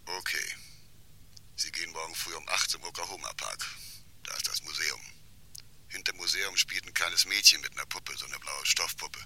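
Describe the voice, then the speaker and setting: Harsh-edged, low-voiced shady blackmailer. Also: male voice, moderate pace, pushed and bright, husky, heavily acted. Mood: cool, instructing. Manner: terse, slangy, haggling. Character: shady male blackmailer on the telephone, cool and calculating. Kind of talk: German radio crime drama acting.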